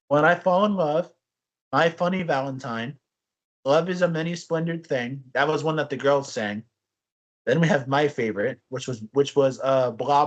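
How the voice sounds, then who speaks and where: A young man co-hosting a casual podcast, telling stories in a rambling, animated way with frequent restarts. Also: on the high side, highs cut off. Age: young adult. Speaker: podcast co-host. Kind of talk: casual chat.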